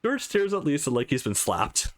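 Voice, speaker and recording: A man reading a visual novel aloud as a let's play host, adding his own commentary in an animated way. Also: on the high side, normal speed. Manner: animated, acts out character lines while reading.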